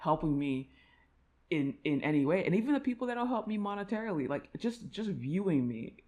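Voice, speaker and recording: A woman's voice: fairly low pitch, very animated, brisk, darkish tone. A woman audio creator talking casually to her listeners in an effusive, rambling way. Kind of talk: casual, informal outro chat to listeners.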